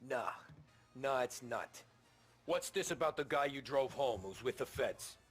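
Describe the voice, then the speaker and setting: A man's voice: medium pitch, expressive, unhurried, slightly rough. An actor playing an earnest, tough mob henchman in a video game cutscene, terse and direct. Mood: agitated. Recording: music behind the voice.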